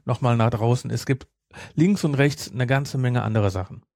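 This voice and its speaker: A man's voice: mid-range voice, normal speed, gravelly, darkish tone, dry recording, studio quality. A man chatting casually as a podcast co-host, rambling and repeating his phrases. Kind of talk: casual chat.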